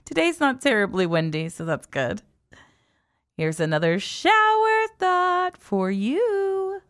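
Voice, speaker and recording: Chatty, clear high-voiced woman radio host. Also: very animated, takes it slowly, a bit bright. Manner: chatty, giggly. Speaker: radio host, music station DJ. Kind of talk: live morning radio presenting with casual chat. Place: local radio studio.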